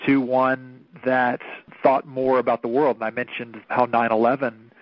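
Minister, late thirties, from Oklahoma; earnest, reflective interview guest, dull radio sound. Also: male voice, mid-range voice, level tone, no room sound. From Oklahoma, United States. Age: 30s. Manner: earnest, thoughtful, measured storytelling.

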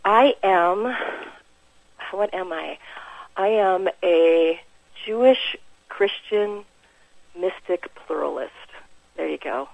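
A woman's voice: fairly low pitch, takes it slowly, vocal fry, long pauses, no echo, low-quality recording. An American woman, a musician, speaking casually and informally as an interview guest. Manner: chuckles often, informal.

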